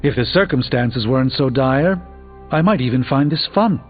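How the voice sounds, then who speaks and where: A man's voice: medium pitch, expressive, normal speed, lightly acted. Video game sorcerer superhero, male actor playing a middle-aged man, articulate and drily wry, formal scholar; thin phone-like sound over music. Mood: earnest, wry. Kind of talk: video game character dialogue.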